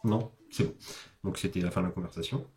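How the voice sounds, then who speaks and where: A man's voice: sits low, fairly even intonation, quick pace, darkish tone. A man giving a casual, explanatory speedrun commentary, hesitating and correcting himself with informal asides. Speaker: video game commentator, host. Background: background music.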